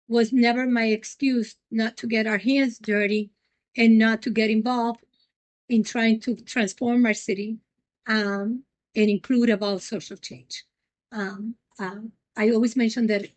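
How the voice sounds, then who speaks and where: A middle-aged woman from Puerto Rico, the president of a nonprofit, answering interview questions earnestly with many pauses and ums. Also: on the high side.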